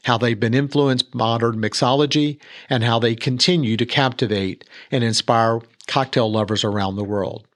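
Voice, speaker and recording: A man reporting for a local podcast, reading a scripted feature segment in a smooth, measured way. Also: mid-range voice.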